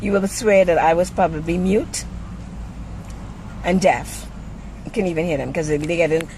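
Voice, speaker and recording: A woman's voice: lowish voice, normal speed, a little mellow. Blunt woman venting, noisy car. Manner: blunt, exasperated, salty-tongued. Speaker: vlogger. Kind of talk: casual first-person rant to camera. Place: inside a parked car by a busy street. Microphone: handheld camera. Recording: noisy car interior with street traffic.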